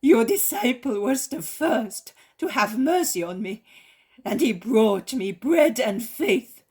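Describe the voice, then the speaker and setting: A desperate, repentant leper woman begs for cleansing in a raised, higher, fervent voice, as a woman reader puts her on. Breathy and insistent, in a devotional story reading. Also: lively intonation, takes it slowly, darkish tone, exaggerated voice. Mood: pleading. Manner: pleading, fervent. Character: repentant female leper, once a beauty, desperate.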